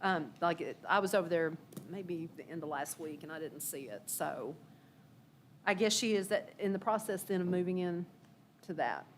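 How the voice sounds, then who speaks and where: Low-voiced woman, slightly hoarse. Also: animated, normal speed, slight background noise. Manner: hesitant, many fillers and restarts. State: losing her voice. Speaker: city preservation staff. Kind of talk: informal meeting report. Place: council meeting room.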